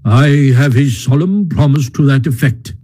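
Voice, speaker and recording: A man's voice: medium pitch, unhurried, dark tone, close to an everyday voice. A man voicing a stern, fatherly badger in a storybook, speaking in a solemn, firm, speechifying way. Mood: kindly, solemn.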